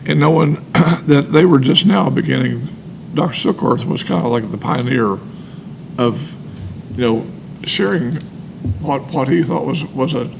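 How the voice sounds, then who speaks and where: An older man giving casual, earnest explanations with anecdotes, often pausing mid-sentence. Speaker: speaker on alcoholism recovery, retired medical worker. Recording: clear background noise with low rumble.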